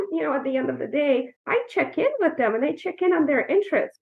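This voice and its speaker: Tinny-sounding woman from Ukraine, thoughtful. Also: sits high. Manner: thoughtful, explanatory, frequent "you know". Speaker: guest coach. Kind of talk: casual podcast conversation. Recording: tinny phone-like remote line.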